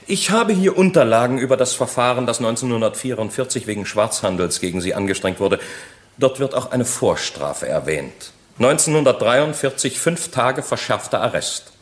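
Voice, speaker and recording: A male actor plays a shrewd defence lawyer in a crime radio drama. He speaks at a medium pitch and builds his case methodically, with formal, pointed questions and rhetorical jabs. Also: lively intonation, moderate pace, natural voice. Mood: probing. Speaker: actor in a courtroom radio play.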